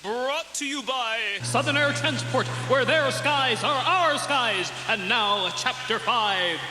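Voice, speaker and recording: A man puts on a bombastic adventure-serial announcer, pitched very high. He declaims each phrase with grand drama over loud music, in mock old-time radio style. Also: slow pace, echoey room. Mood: thrilling, grand.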